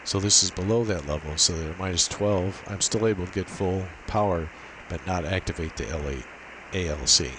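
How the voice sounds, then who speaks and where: A man with a deep, low-pitched voice talks through a technical demonstration. He explains methodically, one step at a time, without a script. A clear layer of hissy noise sits behind his voice. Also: normal speed, hardly any pauses. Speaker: amateur radio demonstrator. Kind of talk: unscripted technical walkthrough. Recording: steady hiss and noise behind voice.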